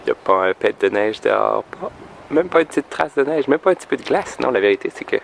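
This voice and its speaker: A deep-voiced man from Canada hosts a casual tutorial in a deliberate French-from-France accent that lets some Québécois turns through. His delivery is creaky, mock-earnest and meandering, full of halting asides. Clear outdoor ambient noise sits behind him. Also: lively intonation. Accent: French, deliberately European French with some Québécois turns. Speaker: singer and vocal coach presenting a video. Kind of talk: casual, humorous vlog tutorial.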